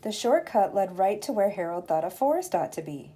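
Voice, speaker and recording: An older woman, a grandmother, reading a children's storybook aloud in an expressive, measured storytelling manner. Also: medium pitch, slightly rough.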